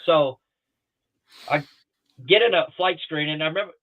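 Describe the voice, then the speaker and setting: A man telling rambling, animated pilot stories on a talk show, with many restarts and acted-out quotes, heard over a remote call. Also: fairly high pitch, normal speed. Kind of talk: casual storytelling on a talk show. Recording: tinny phone-like line.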